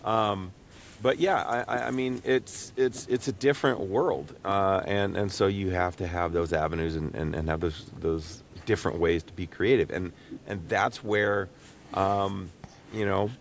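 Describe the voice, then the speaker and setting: A man hosting a casual podcast, talking in a halting, rambling way and repeating words as he thinks aloud. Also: sits low, slight background noise. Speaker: podcast host and voice actor. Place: small home recording booth.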